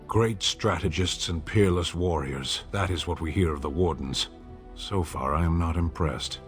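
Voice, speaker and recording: An actor playing a stern, skeptical warrior in a video game, with a blunt, measured delivery. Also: male voice, deep voice, faint music behind.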